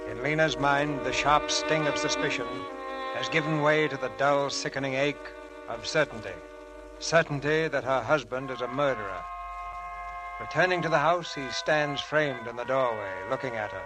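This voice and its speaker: A man's voice: mid-range voice, low-quality recording. Dramatic male radio narrator over music. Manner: measured, dramatic. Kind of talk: 1940s scripted narration. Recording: old radio broadcast, music behind.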